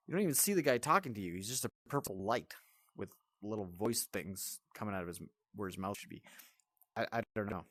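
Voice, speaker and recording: A man with a fairly low voice reviews a video game off the cuff. He is blunt and grumbles as he goes, sounding exasperated. The recording is dry, with very little room sound. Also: fairly bright tone, no echo. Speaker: host of a VR game review channel.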